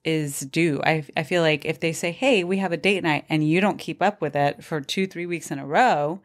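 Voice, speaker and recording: A woman's voice: sits low, moderate pace. A woman in her forties giving frank relationship advice in a casual podcast conversation. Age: 40s. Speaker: relationship expert guest.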